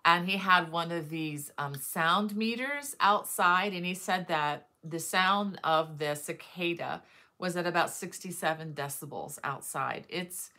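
A woman's voice: on the low side, unhurried, bright, forceful tone. A middle-aged woman hosting a crochet livestream from her living room, chatting casually and greeting viewers by name, with many fillers. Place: living room with bookshelves, indoors.

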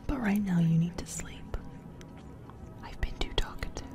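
A woman playing a possessive, teasing female sleep paralysis demon in a whispered ASMR roleplay, intimate and breathy, both menacing and affectionate. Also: medium pitch, slow pace, lightly acted. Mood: teasing, soothing.

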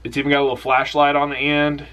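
A young man hosting an outdoors channel, recommending camping gear in a relaxed, rambling, enthusiastic way from a small room. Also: on the high side, fast talker. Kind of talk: casual product recommendation vlog.

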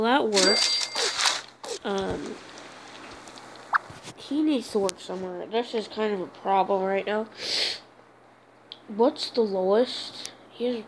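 A congested woman drifts through casual mobile-game commentary, slow and hesitant, pausing often on fillers. Her voice carries a gravelly fry. Loud hiss and noise sit under the whole recording. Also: mid-range voice. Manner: rambling, hesitant, many fillers. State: stuffed up, congested. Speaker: gaming channel host.

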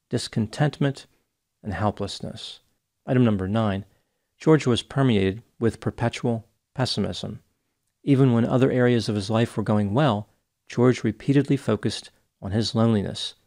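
Calm, measured man, lowish voice. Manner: measured, even, clinical delivery. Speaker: psychology educator. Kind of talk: scripted case analysis. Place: small home studio, indoors. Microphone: close studio mic on boom arm.

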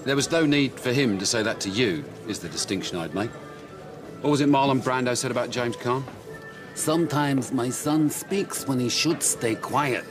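A man's voice: medium pitch, moderate pace, lightly acted. An actor playing a sardonic father in a television soap drama, being dryly pointed at the pub. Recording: TV drama with pub crowd and music.

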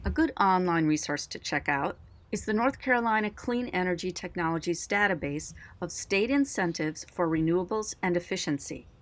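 A woman reading a measured, clearly worded narration for an informational video. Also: fairly low pitch, lively intonation. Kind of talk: scripted narration.

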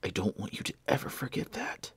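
A man voicing a dying young man who speaks in a weak, breathy whisper, tender and remorseful. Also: high voice, big pitch swings, normal speed, no room sound, voice pushed up, full character voice. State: gasping, weak.

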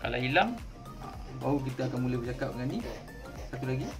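A man with a Malaysian accent casually unboxing and demonstrating something, halting and repeating words as he explains. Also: mid-range voice, expressive. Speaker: vlogger. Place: indoors at a table at home. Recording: music playing behind the voice.

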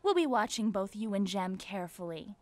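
A woman's voice: medium pitch, lively intonation, brisk, a little mellow, natural voice. A woman playing a snooty popular cheerleader in a cartoon, pompous and condescending. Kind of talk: animated series dialogue.

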